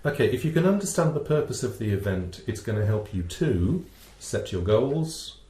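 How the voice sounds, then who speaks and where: A middle-aged man presenting a webinar as a vocal coach, explaining step by step in a measured way, posing questions and answering them. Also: mid-range voice, very animated, dark tone, slight background noise. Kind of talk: explanatory teaching talk with a co-presenter. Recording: roomy, reverberant sound.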